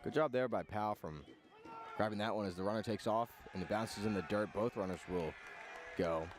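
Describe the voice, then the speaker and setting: A man's voice: lowish voice, a little fast. A man calling live baseball play-by-play on the radio in clipped phrases, following the action as it unfolds.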